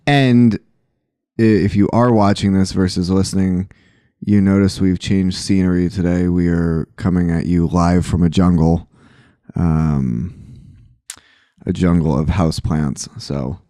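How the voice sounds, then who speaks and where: A man with a very deep voice hosts a podcast, speaking candidly and with a dry sense of humor. His tone is dark and mellow, and the sound is dry and close. He leans on "uh" fillers as he speaks. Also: fairly even intonation, a little slow, no echo. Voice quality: low voice. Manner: candid, joking, frequent "uh" fillers. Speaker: podcast host, sober living owner. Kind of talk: casual chat. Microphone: poor microphone, hard to hear.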